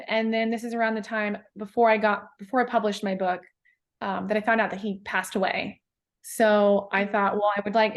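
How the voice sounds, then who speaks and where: A woman poet talking in a casual interview, thoughtful and halting, with many fillers and restarts. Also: mid-range voice. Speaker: guest poet.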